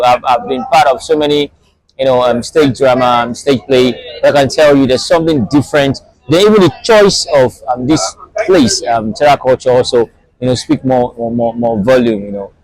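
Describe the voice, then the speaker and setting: Halting Nigerian man in a dry recording. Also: medium pitch, a little mellow, dry recording, poor audio. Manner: halting, repetitive, emphatic praise. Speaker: audience member giving a review. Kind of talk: unscripted interview.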